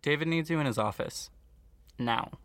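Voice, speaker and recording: A man's voice: fairly high pitch, moderate pace, a bit bright. A man playing a loyal, defensive cult member in a radio play, guarded and curt.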